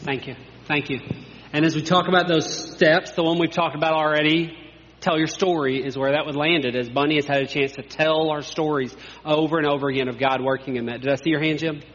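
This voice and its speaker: A man's voice: a little high, fairly even intonation, fairly quick, fairly bright tone. A male pastor leading a sharing time in church, affirming people and joking lightly. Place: church sanctuary. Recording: echoey church hall, dull sound.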